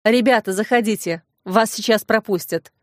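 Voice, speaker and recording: A calm, authoritative police colonel from a crime audiobook, voiced by a woman reader. The delivery is firm, measured and official. The recording is very dry. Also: medium pitch, no room sound, lightly acted. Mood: businesslike.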